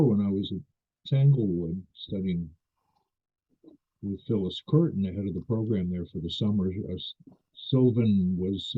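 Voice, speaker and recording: Older male interviewer, low husky voice, polite and hesitant, on a tinny phone line. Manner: polite, hesitant, apologetic, restarts phrases. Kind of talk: interview questions.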